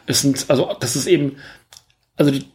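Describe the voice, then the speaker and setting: A man's voice: medium pitch, fairly quick, darkish tone. A man co-hosting a podcast, chatting casually about films and thinking aloud as he rambles. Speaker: podcast co-host. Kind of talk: casual film review chat.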